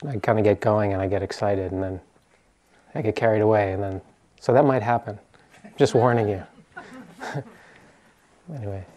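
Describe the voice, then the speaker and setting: An American man from the East Coast giving an informal meditation talk as a teacher, hesitant and often pausing to search for words. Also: lowish voice, fairly even intonation, dark tone.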